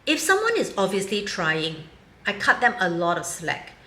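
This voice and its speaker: A woman's voice: mid-range voice, sing-song, moderate pace, sharp tone. A woman in her fifties from Singapore, giving her frank, wry opinion in a commentary vlog. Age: 50s. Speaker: vlogger. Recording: roomy echo.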